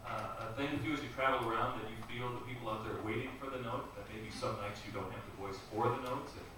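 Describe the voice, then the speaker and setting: Male radio interviewer, live event, quick delivery, recorded at a distance with clear background noise. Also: mid-range voice, fairly even intonation. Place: music store live event, indoors. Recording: echoey room, distant mic, noisy ambience.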